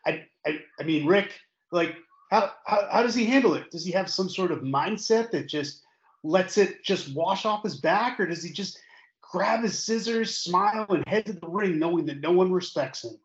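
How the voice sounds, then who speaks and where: An earnest but needling radio caller, acted by a man in a comedy audio play. He rambles pointedly in a fairly high voice, with roomy, slightly dull sound. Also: lively intonation, normal speed. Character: needling, earnest male wrestling fan calling in.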